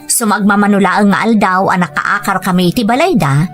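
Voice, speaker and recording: A woman's voice: mid-range voice, a little fast, natural voice. Woman acting an earnest, accepting young wife. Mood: resigned narration. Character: dutiful young wife, hesitant but accepting. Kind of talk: scripted audio drama dialogue and narration. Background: faint music.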